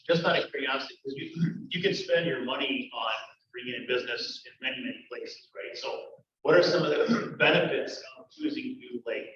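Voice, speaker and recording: A man moderating a real estate panel, asking questions in a relaxed conversational way. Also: mid-range voice, a little fast, highs cut off, a little hiss or hum. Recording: very echoey room, distant mic.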